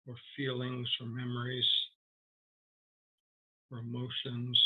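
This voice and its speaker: A man leading a guided meditation as a teacher, speaking gently and haltingly with long, unhurried pauses. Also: mid-range voice, husky, poor audio.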